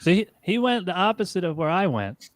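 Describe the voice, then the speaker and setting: A man's voice: high voice, big pitch swings, a little fast, no echo. A man chatting casually and comically as a game show contestant. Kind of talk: casual comedic chat.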